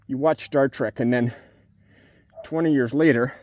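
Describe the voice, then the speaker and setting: A man's voice: mid-range voice, fairly even intonation, unhurried, darkish tone, dry recording. A man talking casually and spontaneously to camera in a rambling, wry, jokey way, like a vlogger giving a review. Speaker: video blogger giving a book review. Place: on a farm among cows.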